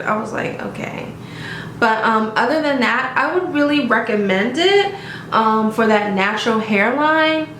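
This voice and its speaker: A chatty, upbeat woman with a slightly high voice talks to her viewers in a casual home review. The room around her is roomy and echoey, with a faint hiss underneath. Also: a bit bright. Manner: chatty and upbeat, often addressing viewers as "you guys" and asking "okay?". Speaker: beauty vlogger reviewing a wig. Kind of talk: casual product review vlog. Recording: roomy echo.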